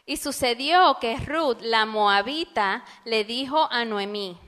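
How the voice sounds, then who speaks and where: A woman interpreting a sermon phrase by phrase, quick and fluid, in a church. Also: on the high side, fairly bright tone, in a large hall. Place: church sanctuary.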